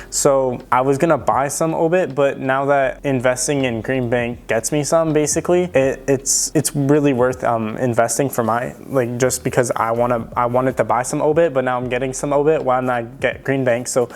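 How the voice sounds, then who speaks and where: A young man with a raspy voice from tonsillitis, casually explaining a stock pick to his channel's viewers with lots of fillers. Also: mid-range voice, steady tone, normal speed, few pauses. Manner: chatty, filler-heavy, enthusiastic explaining. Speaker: stock investing YouTuber. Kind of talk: casual stock analysis talk to camera.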